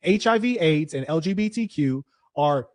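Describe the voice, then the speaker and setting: A man debating hotly, lecturing and combative, his voice dropping low and then rising to shouts. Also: expressive, moderate pace. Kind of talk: heated debate.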